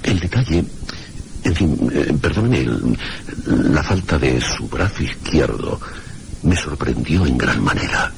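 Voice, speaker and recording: An actor with a Castilian Spanish accent playing a mysterious, scholarly gentleman guide in a radio drama, speaking formally and deliberately in a gravelly voice. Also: lively intonation, full character voice. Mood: formal, curious. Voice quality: very deep, gravelly. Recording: noisy radio drama recording.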